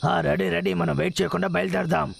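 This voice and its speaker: A woman's voice: on the low side, steady tone, very fast, very noisy. A woman voicing a boastful young male animal sidekick in a dubbed cartoon, who keeps promising to take care of things. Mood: reassuring. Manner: boastful, reassuring, gravelly. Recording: loud music under voice.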